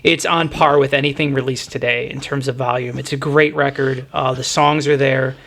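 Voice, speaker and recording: A man's voice: mid-range voice, fairly even intonation, normal speed, a bit bright. A man hosting a music podcast, chatting casually in an enthusiastic, opinionated way. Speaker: podcast host.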